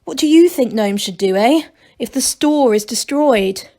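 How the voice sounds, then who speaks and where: A woman's voice: a little high, expressive, a little slow, mellow. A woman voicing a clever, self-assured, impatient male gnome from a children's storybook, in an emphatic know-it-all manner. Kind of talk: children's storybook read aloud.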